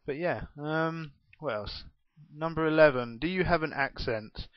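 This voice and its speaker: A man's voice: fairly high pitch, unhurried, no echo. A young man in his twenties with a well-spoken southern English accent, chatting casually through a vlog Q&A in a self-mocking way and laughing often. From England. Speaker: gaming YouTuber. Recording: dull, dry home recording.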